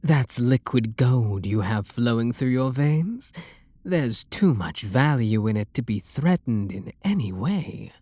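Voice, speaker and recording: Slow, refined British vampire lord, played by a man. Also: medium pitch, animated, no echo. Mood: possessive, adoring. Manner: soft, deliberate, genteel, flirtatious. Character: refined, wealthy male vampire lord, possessive and courteous. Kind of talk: ASMR roleplay monologue.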